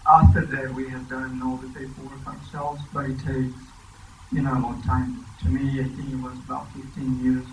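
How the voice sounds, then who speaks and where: A man tells his immigration story at a church gathering in an even, level tone with little rise and fall. The sound is distant and echoing, as from across a large room, with faint background noise. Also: mid-range voice, normal speed. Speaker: symposium panelist. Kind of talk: personal testimony. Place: church hall. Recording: echoey church hall from far off.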